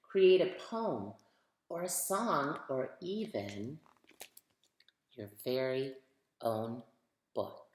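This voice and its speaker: A woman's voice: rumbling low, lively intonation, drawn-out words, pauses often, a little mellow. A woman reading a picture book aloud to children, expressively, putting questions to her young listeners. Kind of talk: storytime read-aloud for children. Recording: roomy, echoing sound.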